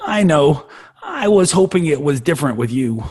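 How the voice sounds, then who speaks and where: A performer playing a clingy, lovesick, nerdy young boy with a husky voice and melodramatic delivery in a sitcom table read. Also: medium pitch, very animated, moderate pace, a little mellow. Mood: wounded. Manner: melodramatic, swooping between pleading and wailing.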